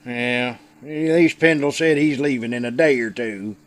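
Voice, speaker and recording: A man's voice: medium pitch, a little slow, lightly acted. A man playing a marshal's folksy, respectful helper in a radio Western. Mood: respectful, chatty. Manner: folksy, chatty. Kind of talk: old-time radio Western acting.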